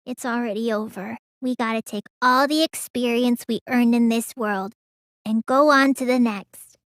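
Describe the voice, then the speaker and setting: A woman's voice: fairly high pitch, normal speed, dry recording, clean studio sound, close to an everyday voice. A woman voicing a sluggish, stubborn, gullible teenage girl magician in an English video game dub, with a lazy, childish drawl that turns whiny. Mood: subdued, serious.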